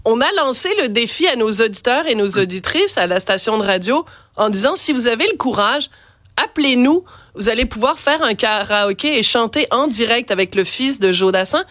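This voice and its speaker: Woman radio host, Quebec French accent, a bit stuffy with a cold, playful and quick to laugh. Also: on the high side, lively intonation, a bit bright, no echo, clean studio sound. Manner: chatty, playful, laughs often. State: has a cold. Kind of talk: lively radio interview.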